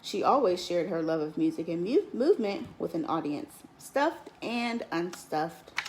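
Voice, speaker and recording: A woman teacher reading a picture book aloud in an expressive, lilting storytelling manner. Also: fairly low pitch, a little slow, a little hiss or hum, rough recording. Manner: expressive, lilting storytelling with lifts on key words.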